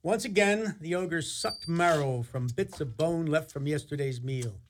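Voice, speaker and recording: A man's voice: on the high side, measured pace, slight background noise, lightly acted. A man narrating a fairy-tale radio play in an ornate, dramatic, theatrical style. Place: radio studio.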